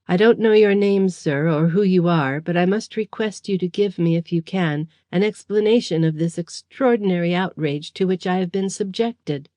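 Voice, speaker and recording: A woman voicing a proud gentleman held captive, speaking formally and defiantly through pain. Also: lowish voice, moderate pace, hardly any pauses, no room sound, natural voice. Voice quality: formal, indignant.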